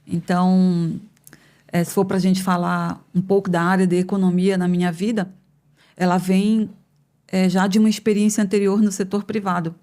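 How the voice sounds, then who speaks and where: A woman in her fifties from northern Brazil, an economics professor answering interview questions in a thoughtful, measured way with frequent pauses and hesitations. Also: on the low side, flat delivery, mellow. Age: 50s. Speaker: economics professor, podcast guest. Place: podcast studio.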